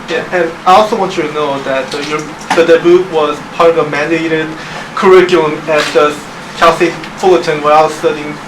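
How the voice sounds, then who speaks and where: A man asking polite, slightly halting questions as an interviewer at a press conference. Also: a little high, steady tone. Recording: echoey room, distant mic, noisy.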